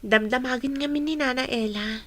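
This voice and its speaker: A woman's voice: high voice, normal speed, a bit bright, no room sound. A woman playing a respectful, earnest young daughter in an Ilocano radio drama. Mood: questioning.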